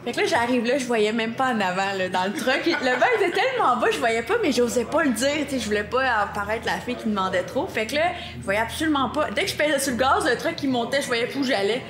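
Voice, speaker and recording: Lively Québécois woman, fairly high voice. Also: fast talker, few pauses, reverberant, crowd noise. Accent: Québécois French. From Quebec, Canada. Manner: lively storyteller, laughs often. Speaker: guest. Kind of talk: casual chat.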